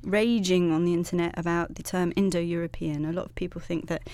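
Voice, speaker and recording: A woman's voice: on the low side, a little fast, no room sound. Female radio host, talkative and curious, close dry studio mic. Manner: chatty, curious, lists words in a steady run. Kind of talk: casual radio chat.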